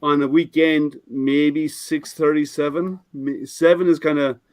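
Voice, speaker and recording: A man's voice: fairly high pitch, fairly even intonation, measured pace, darkish tone, no echo. An older man hosting a casual live stream, his voice a little scratchy, explaining his printer with many restarts and fillers. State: slightly scratchy voice.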